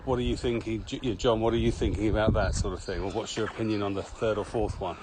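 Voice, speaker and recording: A man's voice: lowish voice, fairly even intonation, fast. A British man hosting a casual podcast interview outdoors on a walk, asking questions and informally restating the answers. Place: outdoors, walking on a country path. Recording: noisy outdoor ambience.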